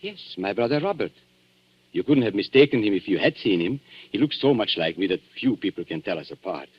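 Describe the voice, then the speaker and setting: An actor playing a suave, menacing antique dealer with formal, sardonic politeness in a 1940s radio thriller. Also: male voice, on the low side, sing-song, fairly quick, slightly rough. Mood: cool menace. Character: suave, menacing male antique dealer toying with guilty visitors. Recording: dull 1940s radio broadcast.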